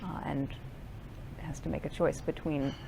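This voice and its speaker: An American actress with a crackly vocal fry, heard in a theatre's cast interview over faint noise. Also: female voice, deep voice, fairly even intonation, a little slow. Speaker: musical theatre actor.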